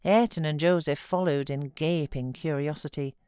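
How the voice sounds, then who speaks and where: A woman with a British accent giving measured, expressive literary narration as an audiobook reader. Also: low voice, clear, resonant tone, no echo, studio quality.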